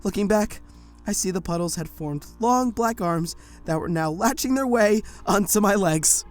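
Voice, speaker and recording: A woman's voice: medium pitch, normal speed, soft background music. A woman narrating a first-person audio drama in a tense, breathless storytelling manner.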